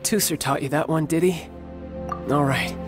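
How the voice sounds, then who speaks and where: A man playing a protective, smooth-talking young fighter and older brother in a video game, speaking in a measured, politely formal, wry way. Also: fairly high pitch, lightly acted. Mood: wry, gentle. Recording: game music behind the voice. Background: background music.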